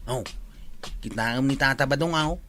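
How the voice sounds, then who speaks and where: A man's voice: medium pitch, moderate pace, bright, forceful tone, lightly acted. A man with a Filipino accent playing a mocking, gossipy villager in a comedy radio drama, on a noisy recording.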